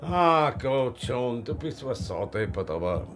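Sharp-tongued Austrian drag queen. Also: mid-range voice, big pitch swings, a little slow. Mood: mocking, exasperated. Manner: theatrical, teasing. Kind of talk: naturalistic radio drama dialogue.

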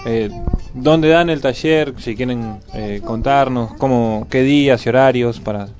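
A man asking questions as a radio interviewer, informally and a little haltingly. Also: medium pitch, darkish tone, soft background music. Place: radio studio.

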